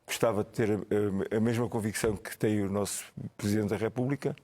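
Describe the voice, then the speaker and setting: A man with a European Portuguese accent commenting in a football panel debate, in a halting, measured delivery. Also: lowish voice, steady tone, mellow. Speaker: football pundit.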